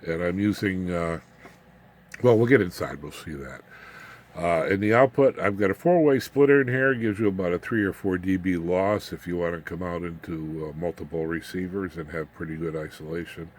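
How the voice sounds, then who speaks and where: A man with a deep voice talks through a hands-on demonstration of his homemade equipment. His delivery is informal and halting, with muttered asides to himself as he works. There is faint noise and room ambience behind him. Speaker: hobbyist radio builder demonstrating his equipment.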